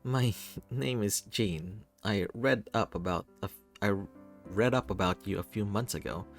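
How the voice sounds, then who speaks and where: A man's voice: lowish voice, unhurried, faint music behind. A man voicing an awkward, earnest, starstruck fan in a visual novel reading. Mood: nervous admiration.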